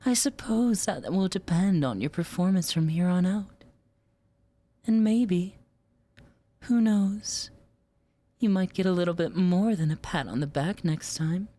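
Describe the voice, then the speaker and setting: A woman's voice: on the low side, a little slow, pauses often, dark tone. A woman performing a scripted roleplay as a teasing, domineering superior, in a sultry, condescending drawl. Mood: teasing, coy. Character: teasing, domineering female superior scolding her agent.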